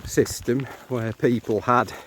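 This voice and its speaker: A man's voice: steady tone, slow, a bit bright. A middle-aged man with an English accent gives casual, rambling commentary as a chatty vlog guide with dry humour. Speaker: walking vlog host and local guide. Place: moving car, later open farmland track. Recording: noisy handheld recording, car and outdoor noise. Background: car rumble, outdoor ambience.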